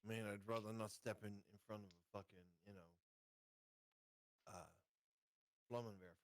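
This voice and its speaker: A man's voice: fairly low pitch, steady tone, normal speed, dry recording. A man chatting casually as a player in a tabletop game, hesitantly working out his options aloud.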